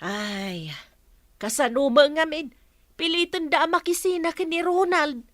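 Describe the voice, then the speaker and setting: A woman's voice: sits high, unhurried, no room sound, clean studio sound. A woman acting a teasing, gossipy household helper in an Ilocano radio drama.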